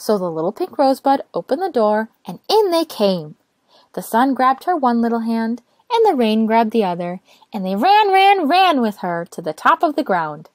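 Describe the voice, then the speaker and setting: A woman narrating a children's storybook aloud in an expressive, careful way. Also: a little high, normal speed, no echo. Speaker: storytime reader.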